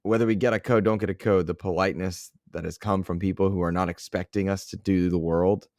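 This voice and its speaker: A man's voice: deep voice, fairly even intonation, a little fast, a little mellow, no echo, studio quality. A man hosting a gaming podcast, earnest and reflective, whose voice is getting worn. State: losing his voice. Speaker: podcast host. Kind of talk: casual podcast chat.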